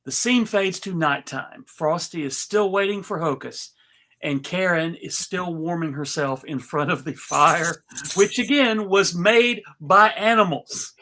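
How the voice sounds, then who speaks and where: Unhurried reader of stage directions. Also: mid-range voice, pushed and bright. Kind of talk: scripted scene description with casual asides.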